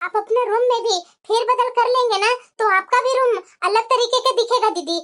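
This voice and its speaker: A woman voicing a meek but resentful young housewife in a cartoon family drama, deferential and plaintive, with a pitched-up voice. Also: steady tone, a little fast, fairly bright tone. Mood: meek, defensive.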